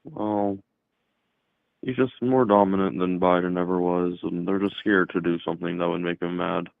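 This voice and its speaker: Over a dry, phone-like line comes a very low, creaky male voice. He is a caller arguing casually, halting and offhand as he goes. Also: steady tone, dry recording. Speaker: caller debating in support of Trump. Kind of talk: casual argumentative chat. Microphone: phone or online call. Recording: narrow phone-like call audio.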